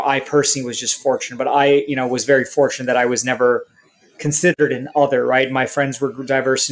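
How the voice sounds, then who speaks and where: Articulate man answering interview questions. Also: medium pitch. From India, raised in Canada. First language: Hindi. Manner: articulate, structured, lists points. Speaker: guest, software company CEO.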